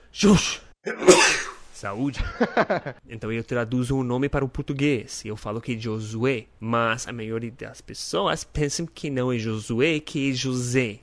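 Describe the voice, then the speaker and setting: A man's voice: medium pitch, dry recording. American man, english accent, learner portuguese, casual podcast talk, dry close sound. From North America. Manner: friendly, earnest, joking, stumbles over Portuguese words. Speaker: podcast host. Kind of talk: casual solo podcast monologue.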